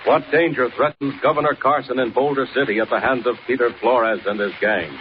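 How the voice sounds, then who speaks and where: A man's voice: mid-range voice, fairly even intonation, fairly quick. A man announcing a serial in dramatic, scripted 1940s radio narration. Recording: muffled, scratchy old radio recording.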